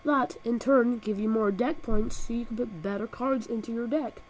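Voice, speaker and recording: A woman's voice: on the high side, moderate pace, a little mellow, slight background noise, rough recording. A woman with a cold and a nasal voice, reviewing a video game with sarcastic, exaggerated comic rants, recorded on a built-in laptop microphone. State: has a cold.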